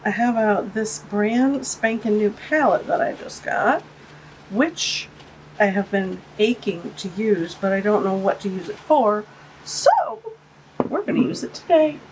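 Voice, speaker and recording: A woman's voice: medium pitch, animated, a little slow, a little hiss or hum, poor audio. A woman hosting a casual art-supply unboxing vlog, chatty and gushing, with a stuffy, congested voice. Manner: gushing, chatty, cooing over tiny things. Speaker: art channel host. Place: home art studio, tabletop overhead shot. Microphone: camera-mounted shotgun mic.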